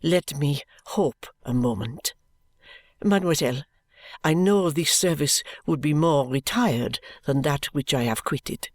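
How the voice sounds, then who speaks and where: A woman voicing an intense, proud French lady's maid with a French accent, speaking in a low, earnest, subdued way. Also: a little slow, no room sound, studio quality. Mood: earnest pleading.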